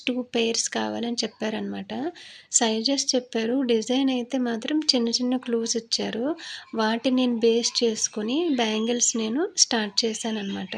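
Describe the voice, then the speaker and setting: A young woman narrates a craft video as channel host in a casual, conversational way, often ending sentences with a filler tag. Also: on the high side.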